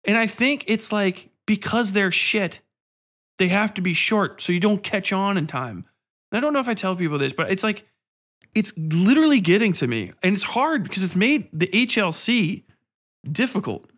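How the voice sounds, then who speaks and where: Exasperated, foul-mouthed man with a higher-pitched voice. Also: animated, normal speed, a bit bright. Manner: profane, exasperated, blunt complaining. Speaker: podcast host. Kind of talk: casual podcast rant.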